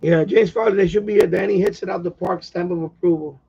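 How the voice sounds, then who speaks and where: A man with a high, creaky, husky voice hosts a casual live stream. He rambles along and circles back to repeat his points. The audio is somewhat muffled and lo-fi. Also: fairly even intonation, fast, warm tone. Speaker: radio hobbyist live stream host.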